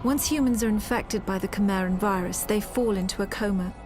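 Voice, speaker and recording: A woman playing a serious military officer who recounts a war in measured, matter-of-fact game narration. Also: mid-range voice, over music, close to an everyday voice. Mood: grave, detached. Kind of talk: scripted cinematic game narration.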